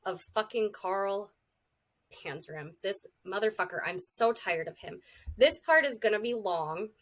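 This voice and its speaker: A woman in her thirties co-hosting a casual podcast chat, a little congested from a cold, blunt and quick to swear. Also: medium pitch, lively intonation, fairly bright tone. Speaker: podcast co-host.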